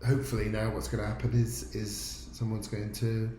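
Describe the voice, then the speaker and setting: A man's voice: on the low side, flat delivery, moderate pace, background noise. A man informally explaining his work as a writer, in an echoey room. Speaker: musical theatre writer.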